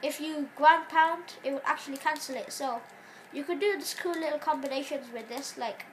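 High-voiced sick woman with a cough. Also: normal speed, a little hiss or hum. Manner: rambling, stops to cough. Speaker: gaming channel host. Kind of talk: casual gameplay commentary.

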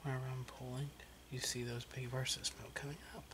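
A man whispering casual ASMR craft chat in a hushed, unhurried way as he demonstrates his work. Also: medium pitch, flat delivery. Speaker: crafter demonstrating wood burning. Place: indoors, carpeted room at home. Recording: noisy whispered recording.

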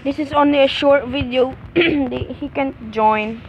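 A young person giving casual, halting gameplay commentary with repetitive phrasing as the host of a gaming video. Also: lo-fi sound. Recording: very noisy, windy rumbling microphone.

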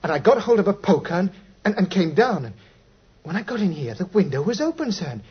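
A man's voice: sits high, sing-song, fairly quick, breathy edge, full character voice. An actor playing a nervous, shaken British newspaper reporter in a 1950s radio drama, stammering and repeating his words. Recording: dull, muffled 1950s radio recording.

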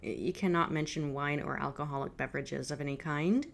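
A woman's voice: low-pitched, steady tone, brisk, vocal fry. A woman in her thirties giving casual, off-the-cuff reaction commentary at home. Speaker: reaction video host. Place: indoor room at home.

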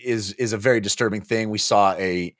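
A man's voice: on the low side, fairly quick, a bit bright, no echo. Man talking books, dry close mic. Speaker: book club podcast co-host. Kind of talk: casual animated book discussion. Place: small home office, indoors. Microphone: close podcast mic on boom arm.

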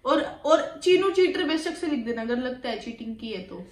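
Young woman, very high bright pitch, playful sass, distant echoey room sound. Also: expressive, normal speed. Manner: playful, cheeky bickering. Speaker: daughter, co-host. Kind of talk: casual family vlog chat. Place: living room, indoors, on a sofa. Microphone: fixed camera a few feet away.

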